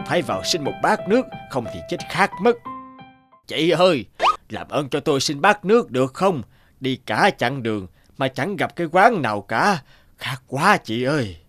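An actor voicing a vain, spiteful middle-aged feng shui master in a cartoon folk tale, theatrical and grumbling. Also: male voice, a little high. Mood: weary, grumbling, then pleading. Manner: theatrical, muttering complaints, falsely polite. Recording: music behind the voice.

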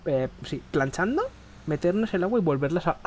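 A man's voice: a little high, a little fast. A man with a Spain Spanish accent rambles and swears his way through a gameplay session. His throat keeps catching, and the headset mic sits close to his face. A faint hiss runs underneath. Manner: rambling, crude, excitable. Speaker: gaming streamer. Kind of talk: casual gameplay chat.

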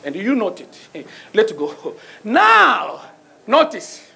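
A man's voice: sits high, wildly swooping pitch, extremely slow, vocal fry, bright, forceful tone, husky. A middle-aged man with a French accent preaching a sermon from the pulpit of a church and calling out "Amen?" to the congregation. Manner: call-and-response, asks the congregation "Amen?". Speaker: guest preacher. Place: church sanctuary, small stage with pulpit. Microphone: handheld mic at pulpit.

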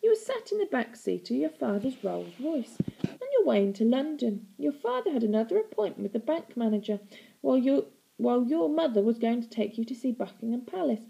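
A woman's voice: a little high, big pitch swings, brisk, vocal fry, warm tone, pitched above the performer's own voice, rough recording. A woman voicing a cruel, mocking storybook aunt, sickly-sweet and theatrical, slipping into baby talk. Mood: dramatic, relishing.

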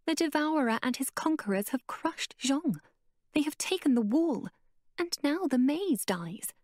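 A woman voicing an eager, determined young woman, her voice pitched up, breathless and urgent. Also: lively intonation, measured pace, a little mellow, dry recording, studio quality.

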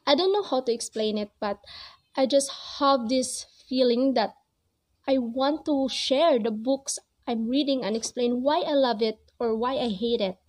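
A woman's voice: fairly high pitch, unhurried. A young woman in her twenties, not a native English speaker, talking earnestly to the camera about reading in a small room. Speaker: book vlogger. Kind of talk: casual talk to camera. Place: small cozy bedroom with string lights. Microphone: camera at close range.

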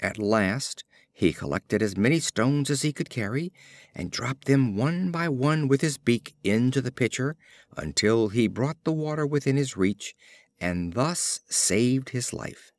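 A man reads storybook fables in a deliberate, theatrical way. His pitch swings up and down expressively, and the recording is dry and close. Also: animated, no room sound. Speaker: audiobook narrator.

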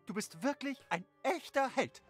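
Very high-pitched, theatrical game civilian. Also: animated, moderate pace, soft background music. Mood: relieved, grateful. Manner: theatrical, exclamatory. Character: distressed, gushingly grateful civilian in a superhero game. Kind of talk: animated video game voice acting.

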